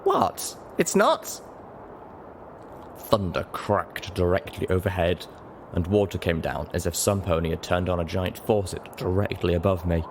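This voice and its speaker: A man reading a story aloud in expressive scripted narration. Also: low-pitched, moderate pace, a little hiss or hum. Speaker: audiobook narrator.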